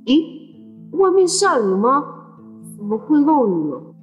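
A woman playing a gentle, anxious fourteen-year-old boy in an audio drama, hesitant, earnest and polite. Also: high-pitched, big pitch swings, takes it slowly, heavily acted. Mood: puzzled. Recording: background music under the voice.

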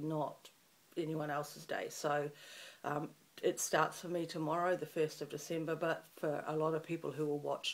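A middle-aged woman from New Zealand with a New Zealand accent and a croaky morning voice, chatting to a webcam in a small room, rambling with lots of ums. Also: sits low. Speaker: craft channel host. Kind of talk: casual chat to camera. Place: small room in front of a festive fabric backdrop.

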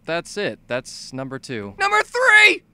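A man with a highish, forceful voice delivers comedic video game commentary. His pitch swings widely as he hammers his points. He swears freely and can burst into shouting. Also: wildly swooping pitch, measured pace, a little hiss or hum. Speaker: video essay narrator, fan dubbing a game review.

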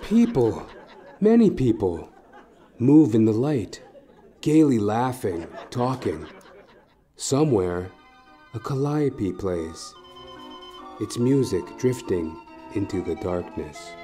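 A man narrates a horror tale over clear background music. His delivery is slow and suspenseful, broken into short, deliberate phrases with theatrical weight. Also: on the low side, big pitch swings, mellow. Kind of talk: dramatic second-person horror story narration.

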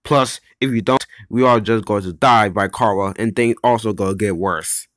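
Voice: medium pitch, moderate pace, a bit bright, natural voice. An amateur voice actor playing a casual, bossy, wisecracking video-game character in a homemade cartoon. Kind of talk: amateur animation voice acting.

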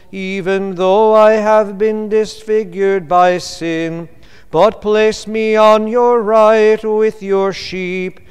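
A man chanting prayers in slow, intoned liturgical style, as a cantor in a church. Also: sits high, clean tone. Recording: long reverb of a large hall.

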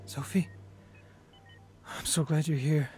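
An actor playing a plain-spoken, weary husband in a radio drama. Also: male voice, fairly high pitch, steady tone, slow pace, a little mellow, faint music behind. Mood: tender, weary. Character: skeptical, weary husband, later softened and grateful.

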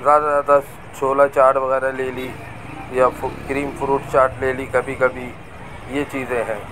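A man slowly narrating a market street tour from a bike, with traffic and crowd noise behind him. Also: medium pitch, little pitch movement. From Pakistan. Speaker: vlogger. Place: busy market street outdoors. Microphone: camera on a moving bike. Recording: noisy outdoor street recording.

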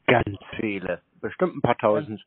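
A man's voice: fairly low pitch, moderate pace. A man on a phone call, taunting and insulting the other side with dry, short retorts. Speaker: scam baiter taking a scam call. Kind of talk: prank phone conversation. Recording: thin narrowband phone line.

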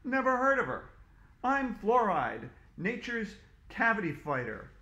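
A man's voice: sits high, sing-song, a little slow, pushed and bright, muffled recording, pitched above the performer's own voice, exaggerated voice. A man doing a grand heroic lady superhero. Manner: grand, declaiming. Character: bold female tooth-care superhero, heroic. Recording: echoey room.

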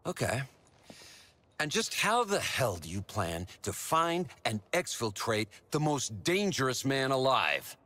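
A man's voice: mid-range voice, big pitch swings, measured pace, bright, forceful tone, no echo. A man playing a tough, skeptical soldier in a game, blunt and challenging. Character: tough, skeptical male soldier on a villain squad. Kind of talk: video game cutscene acting.

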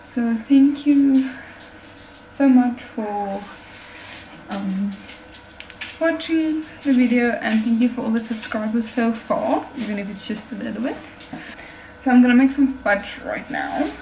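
A woman's voice: fairly high pitch, a little slow, long pauses, warm tone, a little hiss or hum, low-quality recording. A woman in her twenties chatting casually to viewers in an informal vlogging style. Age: 20s. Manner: informal, addressing viewers directly. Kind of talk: casual vlog chat and recipe voice-over. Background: music.

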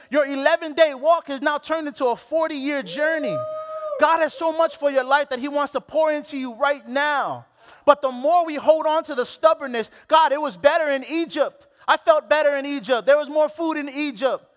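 High-voiced young preacher in a reverberant hall. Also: male voice, normal speed. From Hawaii. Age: late 20s. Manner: animated, exclamatory, with many restarts. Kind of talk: sermon. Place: church stage. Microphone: headset.

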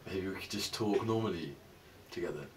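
A young man, played by an actor, in a naturalistic film drama. His voice is fairly low and he talks like a frustrated, sweary student. The indoor location sound has clear background noise. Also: a little fast, lightly acted. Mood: pleading, frustrated. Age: young adult. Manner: informal, sweary. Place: small bedroom, indoors.

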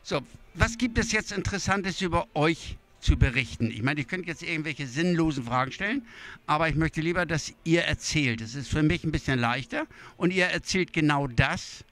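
A man's voice: on the high side, animated, moderate pace, a bit bright. An older man interviewing a band, asking questions in a friendly, chatty way, outdoors on a handheld mic. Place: outdoor city square.

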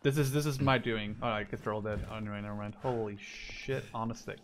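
A man in his twenties chatting casually with a friend while gaming, excitable and rambling, with some swearing. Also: on the low side, quick pace, a little hiss or hum. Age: 20s. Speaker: gamer commentating while playing. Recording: gunfire and clicks behind the voice. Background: video game gunfire, explosions, clicks.

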